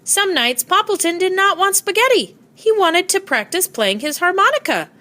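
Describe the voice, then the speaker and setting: Animated woman reading a children's storybook. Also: extremely high pitch, normal speed. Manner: expressive storytelling. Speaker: storybook reader. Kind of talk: children's read-aloud narration.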